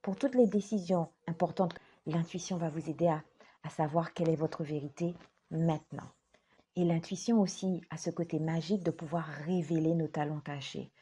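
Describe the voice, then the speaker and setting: A woman life coach speaking straight to her viewers in a gentle, earnest way, stressing her words slowly. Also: deep voice, fairly even intonation, slight background noise. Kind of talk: direct-to-camera talk.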